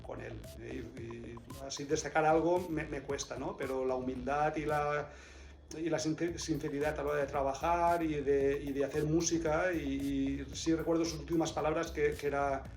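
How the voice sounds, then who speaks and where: A man's voice: fairly high pitch, fairly even intonation, moderate pace, darkish tone, faint music behind. A man from Valencia, a clarinetist, answering interview questions thoughtfully, often restarting his sentences. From Valencia, Spain. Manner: reflective, many restarts and repeated words. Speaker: clarinetist in a quartet. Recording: echoey room.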